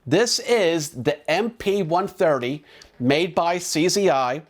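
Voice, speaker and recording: A man's voice: on the high side, slow. A man presenting drone equipment in a casual, conversational, explanatory product demo.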